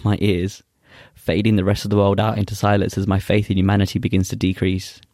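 Deep, flat-voiced young man. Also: no room sound, studio quality. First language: Urdu. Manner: earnest, halting with many fillers. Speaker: poet guest. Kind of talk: casual chat and spoken-word poetry recital.

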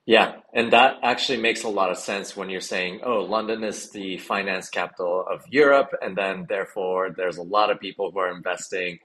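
A man with a very low voice hosts a podcast interview. He asks curious questions that ramble a little as he works them out aloud. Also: steady tone, moderate pace, hardly any pauses, a bit bright, poor audio. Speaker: podcast host.

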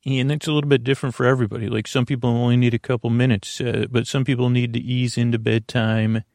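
A man talks in a low-key, meandering ramble, stopping and correcting himself as he drifts from point to point. His voice has a gravelly edge, and it sits close and dry with no room sound. It suits a bedtime podcast host who is in no hurry. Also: level tone, quick pace, a little mellow, dry recording. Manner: meandering, full of hesitations and self-corrections.

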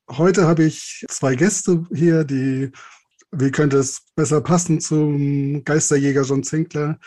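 Higher-pitched male podcast host. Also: darkish tone. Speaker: podcast host interviewing guests. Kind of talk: podcast introduction and interview.

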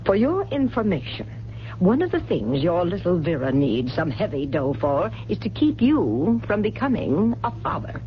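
A ruthless young mistress in a radio thriller. She is blunt and slangy, coaxing with a cold edge and swinging widely in pitch. The sound is dull, with hiss behind it. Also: sing-song, normal speed. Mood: cold, threatening. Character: hard, scheming young mistress pushing her lover to murder. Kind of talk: radio mystery drama acting. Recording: dull, hissy 1970s radio recording.

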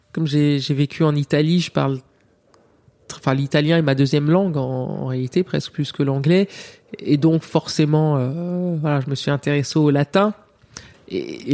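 A middle-aged woman, a TV journalist, speaking reflectively in an interview. She often pauses with fillers and restarts her sentences. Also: low-pitched, darkish tone. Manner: hesitant, many fillers and restarts.

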